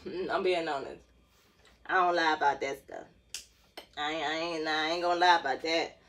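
A woman's voice: mid-range voice, fairly bright tone. A woman, chatting casually and jokingly to camera while stretching in a small room, filmed on a phone set on the floor. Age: 20s. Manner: chatty, joking, lots of laughs and slang. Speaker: dance vlogger.

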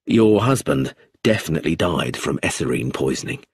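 A man doing a gruff, deep police inspector. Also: moderate pace, no room sound, studio quality, heavily acted. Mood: firm. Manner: low, steady, abrupt. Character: calm, blunt male police chief inspector.

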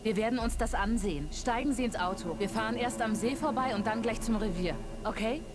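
Actress as calm, higher-voiced female sheriff. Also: brisk, natural voice. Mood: calm, firm. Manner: calm, authoritative. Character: composed, practical female small-town sheriff.

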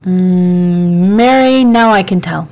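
A woman's voice: on the low side, slow pace, a little mellow. A woman playing a simple, questioning rustic gravedigger's sidekick in a Shakespeare audiobook. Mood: puzzled, game. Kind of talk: dramatic audiobook reading of Shakespeare.

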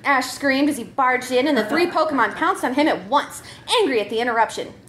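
A woman's voice: high-pitched, a little fast, fairly bright tone, a little hiss or hum. A woman reading a comic story aloud as a performer, giggly and prone to breaking up as she goes. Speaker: comedy troupe member reading a story.

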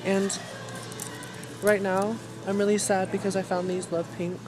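A woman's voice: medium pitch, flat delivery, unhurried, over music. A young woman chatting casually into a phone held at arm's length, bubbly and self-admiring, in a car and a thrift store. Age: young adult. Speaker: thrift vlogger. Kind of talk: casual vlog chat. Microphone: phone camera held at arm's length. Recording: noisy phone recording.

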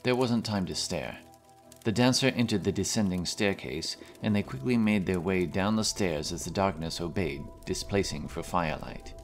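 Low-voiced man narrating fantasy over music. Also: moderate pace. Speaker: audiobook narrator. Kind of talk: scripted fantasy story narration.

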